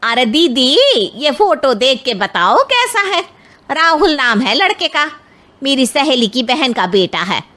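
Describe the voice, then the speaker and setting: An eager, chatty auntie from a cartoon family tale, played by a woman. Her voice sits high, and she talks in a coaxing, effusive way as the family matchmaker. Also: very animated, a little slow, sharp tone, full character voice. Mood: eager, persuasive. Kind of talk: Hindi animated moral story acting.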